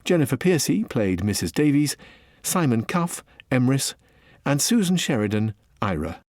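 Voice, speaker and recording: A man's voice: mid-range voice, sing-song, normal speed, a little mellow, no room sound, clean studio sound. A man reading scripted credits as a radio announcer.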